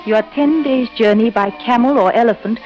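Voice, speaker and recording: Woman acting a brisk, cynical widow. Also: on the high side, muffled recording, close to an everyday voice. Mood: secretive. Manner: brisk, sardonic, unsentimental. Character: brisk, cynical English widow guide in the jungle. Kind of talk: old-time radio adventure acting. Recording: old radio drama recording with music.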